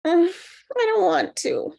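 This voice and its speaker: A woman's voice: very high-pitched, animated, slow pace, vocal fry, a little mellow, no room sound, heavily acted. A woman in a comedy table read playing a drugged, loopy airline passenger with a woozy, rambling drawl. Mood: petulant.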